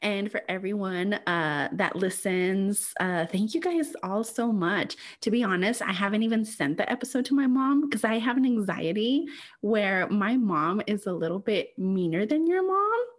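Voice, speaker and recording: A woman's voice: mid-range voice, animated, moderate pace. A woman whose first language is Spanish, co-hosting a podcast in casual chat and laughing often. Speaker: podcast co-host.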